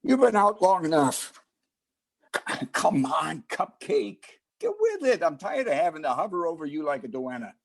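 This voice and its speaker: A man's voice: a little high, sing-song, normal speed, vocal fry, exaggerated voice. A man playing an exasperated but fond police detective in an old-time radio drama, talking in hectoring slang. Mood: impatient coaxing. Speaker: supporting actor in community theatre radio recreation.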